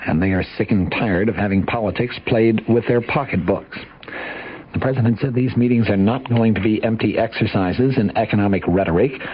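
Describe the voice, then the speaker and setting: A man's voice: on the low side, muffled recording, a little hiss or hum. An American man reading a scripted news report as a radio newscaster on a vintage broadcast.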